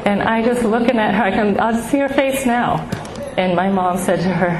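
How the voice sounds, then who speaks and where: A middle-aged woman with a small, soft voice sharing her own story of grief with an audience, halting and heartfelt with many pauses. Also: mid-range voice, music in the background. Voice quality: soft, small voice she calls baby-like. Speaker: speaker at a grief support gathering. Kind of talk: personal testimony to an audience. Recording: echoey room with background noise.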